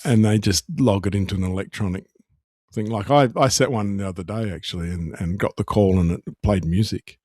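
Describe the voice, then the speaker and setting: A man's voice: fairly low pitch, brisk, darkish tone, no room sound, clean studio sound. A middle-aged man chatting casually as a podcast co-host, wry, often restarting and repeating his phrases. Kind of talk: casual banter.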